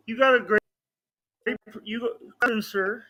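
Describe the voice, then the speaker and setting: Late 20s guy on a podcast, really high sharp voice, keeps tripping and restarting his thoughts. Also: steady tone, no room sound, low-quality recording. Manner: halting, scattered, repeats himself. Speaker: podcast guest. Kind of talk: casual podcast chat.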